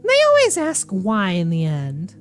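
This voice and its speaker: A soft-spoken young female killer , played by a woman reading a visual novel, menacing and coldly obsessive. She talks slowly and deliberately, with music faintly underneath. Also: medium pitch, huge pitch swings, voice pushed down.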